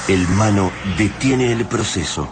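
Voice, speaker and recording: A man's voice: lowish voice, unhurried, noisy background. A man narrating a radio drama in a scripted, dramatic storytelling style. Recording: music behind the voice.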